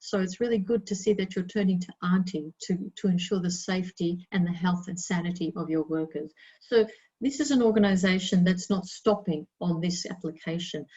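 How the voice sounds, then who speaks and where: A woman's voice: lowish voice, moderate pace, warm tone, slightly rough, dull audio. A woman whose first language is Italian, giving an emphatic, chatty webinar presentation with fillers. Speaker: innovation presenter.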